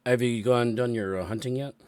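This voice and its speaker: A man with a cold, hoarse, hosting and running a tabletop game session, halting with many fillers. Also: mid-range voice, fairly even intonation, darkish tone, no room sound. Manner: halting, many ums and restarts. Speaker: dungeon master and show host. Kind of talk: casual announcements and chat. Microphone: new microphone.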